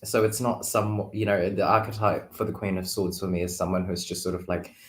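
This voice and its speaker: A man talking casually as a guest tarot reader, thinking aloud in a halting, reflective way. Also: low-pitched, fairly even intonation. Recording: roomy, echoing sound.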